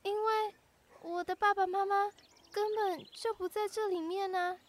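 A woman playing an earnest, determined young girl in an audio drama of an animated fantasy. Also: extremely high pitch, normal speed, dry recording. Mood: steady, resolute.

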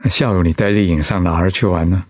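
An actor playing a seriously ill but patient, encouraging husband in a Mandarin radio drama. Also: male voice, sits low, fast talker, no echo, clean studio sound. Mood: weak, gently probing. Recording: music under the voice.

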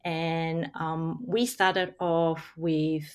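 A woman from Singapore, first language Hakka, chatting as an interview guest with many pauses and restarts. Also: sits low, flat delivery. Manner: hesitant, many ums and restarts. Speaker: guest, parent and blogger. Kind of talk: casual interview chat.

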